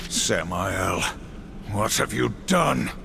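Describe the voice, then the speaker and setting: A man's voice: fairly low pitch, animated, extremely slow, vocal fry, a little hiss or hum, full character voice. A man playing a grim, vengeful supernatural warrior in a video game cutscene, stern and threatening. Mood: grim anger. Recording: loud music under the voice.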